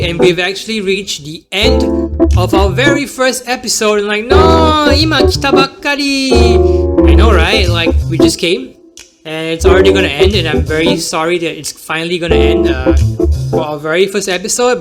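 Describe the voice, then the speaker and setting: A young Singaporean man with a Singaporean accent, hosting a casual solo podcast and rambling with many fillers. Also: high-pitched. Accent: Singaporean with American-leaning English. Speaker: podcast host.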